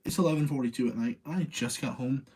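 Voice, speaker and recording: A man's voice: medium pitch, quick pace, heavy background noise. A man hosting a livestream, chatting casually in an apologetic, rambling way. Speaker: livestream host.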